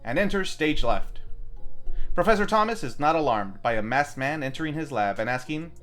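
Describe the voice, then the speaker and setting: A man's voice: a little high, lively intonation, moderate pace, a bit bright, soft background music. A man hosting a film review, reading scripted narration with playful, wry asides. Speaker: host of a film review show.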